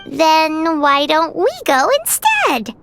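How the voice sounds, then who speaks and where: A woman's voice: high-pitched, huge pitch swings, takes it slowly, fairly bright tone, dry recording, heavily acted. A woman voicing a small, curious, eager childlike fairy companion in a video game, often speaking of herself in the third person. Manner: refers to self in third person. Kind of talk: animated video game voice acting.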